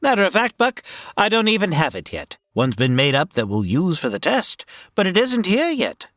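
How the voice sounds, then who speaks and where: A man playing an earnest scientist in a 1930s radio drama, calm and reassuring. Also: high voice, moderate pace, no echo, studio quality, exaggerated voice. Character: earnest male scientist, the Doctor.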